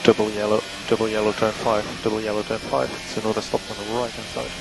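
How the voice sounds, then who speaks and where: A man working as a race engineer, giving measured, matter-of-fact instructions over team radio and repeating the key ones. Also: fairly low pitch, little pitch movement, gravelly, poor audio. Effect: team radio transmission. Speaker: Formula One race engineer. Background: vehicle and engine noise.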